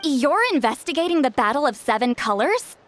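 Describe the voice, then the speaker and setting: A woman's voice: high voice, animated, brisk, soft background music. A woman playing a proud, prickly centuries-old shaman in an anime-style video game, with a haughty, curt manner. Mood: reluctant. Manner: haughty, curt, theatrical sighs and threats.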